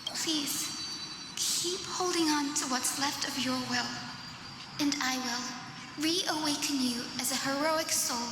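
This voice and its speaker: A woman playing a gentle, earnest young healer in an anime-style game, speaking with compassion and clear words. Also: high-pitched, takes it slowly, noisy background. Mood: tender, solemn. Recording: echoey reverb, game music behind. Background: loud game music.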